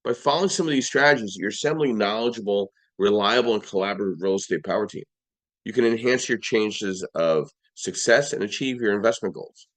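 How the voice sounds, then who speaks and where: A man hosting a live audio-room podcast, his voice a little hoarse, running through sponsors and lists in an upbeat way with frequent restarts. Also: fairly low pitch, fairly quick. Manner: upbeat, list-like delivery with restarts. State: slightly hoarse. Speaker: podcast host.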